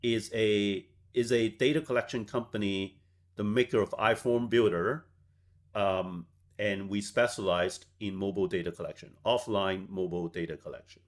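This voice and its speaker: A man in his forties who grew up in Hong Kong, a software founder telling stories in a measured way as an interview guest. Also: on the low side, fairly even intonation. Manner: measured, tags points with "right?". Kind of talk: reflective storytelling interview.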